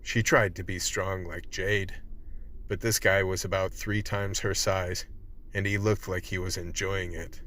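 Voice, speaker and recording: An actor playing a wry vice team member, narrating a radio play colloquially. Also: male voice, deep voice, level tone, measured pace, fairly bright tone, a little hiss or hum. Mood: grim storytelling. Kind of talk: scripted in-character narration.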